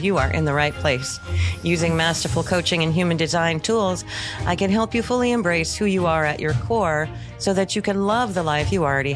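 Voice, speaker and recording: A woman with a fairly low voice hosts a podcast, with clear music in the recording. She speaks as a coach, introducing and interviewing guests. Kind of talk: scripted podcast introduction, then casual interview.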